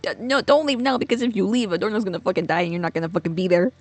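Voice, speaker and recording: A woman's voice: medium pitch, racing. A woman giving casual let's play reaction commentary, blunt, profane and sardonic.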